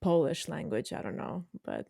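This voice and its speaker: A woman's voice: low-pitched, huge pitch swings, normal speed, gravelly, dark tone, slightly rough, no room sound, studio quality. A woman hosting a podcast, asking her former student curious, prompting questions. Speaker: podcast host and former teacher. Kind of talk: interviewing a guest.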